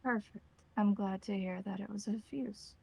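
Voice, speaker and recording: Woman playing a formal, creaky-voiced vampire elder. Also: medium pitch, fairly even intonation, warm tone, slight background noise, natural voice. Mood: courteous. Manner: formal, slow, careful wording.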